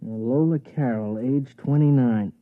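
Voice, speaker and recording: An actor playing a greedy, nervous sidekick to a swindler, talking in sardonic slang in a 1950s radio drama. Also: male voice, mid-range voice, measured pace, close to an everyday voice. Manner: colloquial, sardonic. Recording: dull vintage radio recording.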